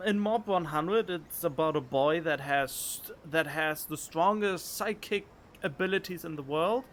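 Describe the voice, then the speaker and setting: A man's voice: high voice, fairly bright tone, slight background noise. A man doing casual gaming stream commentary, reading game text aloud and reacting to it. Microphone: close studio condenser microphone. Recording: game music behind.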